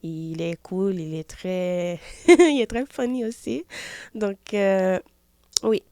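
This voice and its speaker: A woman's voice: mid-range voice, takes it slowly, warm tone, clear, resonant tone, dry recording, studio quality. A woman in her twenties from Haiti with a Haitian French accent, a singer answering interview questions hesitantly, with many fillers. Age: 20s. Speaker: singer guest. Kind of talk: casual interview.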